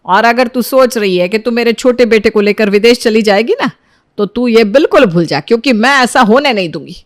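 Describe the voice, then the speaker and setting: A woman's voice: fairly high pitch, expressive, a little fast, no echo, clean studio sound, close to an everyday voice. A woman voicing a firm, protective older mother-in-law in a moral story, stern and insistent.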